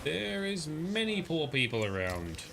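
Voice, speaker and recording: A man's voice: fairly high pitch, very animated, unhurried, gravelly, noisy background. A man giving casual, chatty commentary on a livestream while playing a game and talking to his viewers.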